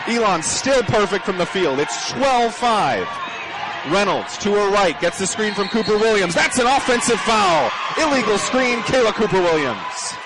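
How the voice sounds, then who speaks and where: High-voiced young man calling basketball. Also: sing-song, moderate pace, a crowd in the background. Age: young adult. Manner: clipped, punchy phrasing, raised voice. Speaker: basketball play-by-play announcer. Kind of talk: live radio sports commentary. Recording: noisy gym ambience. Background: bouncing basketballs, gym noise.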